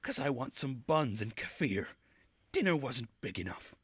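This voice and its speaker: A man's voice: mid-range voice, very animated, measured pace, husky, dry recording, voice pushed up, exaggerated voice. A man voicing a blunt, sarcastic teenage girl troublemaker in a visual novel. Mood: bossy, sarcastic.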